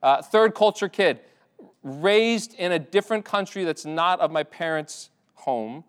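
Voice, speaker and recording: A man's voice: high voice, lively intonation, a little slow, clear, resonant tone. A man preaching a sermon to his congregation in a church, talking in a conversational way with many restarts and fillers. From Ireland. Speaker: pastor. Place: church sanctuary.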